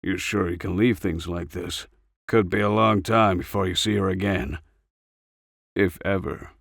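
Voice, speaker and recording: A man voicing a gruff, dutiful older male soldier with an understated, regretful manner in a story reading. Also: deep voice, steady tone, a little slow, a bit bright, no echo, studio quality, exaggerated voice. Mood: concerned.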